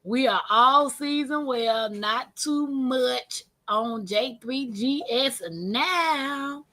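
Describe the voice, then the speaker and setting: Playful high-voiced woman. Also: very slow, bright, forceful tone. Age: 40s. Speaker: livestream host. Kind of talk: casual livestream chat.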